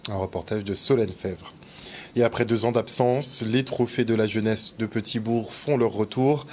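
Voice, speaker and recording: A man, a news anchor, reading scripted news with smooth, measured delivery. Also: lowish voice, a little mellow, slight background noise.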